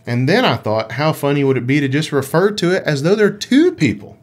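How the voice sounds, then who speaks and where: A man's voice: a little high, sing-song, fairly quick. A man co-hosting a gaming podcast, chatting casually in a playful, rambling, explanatory way. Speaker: podcast co-host.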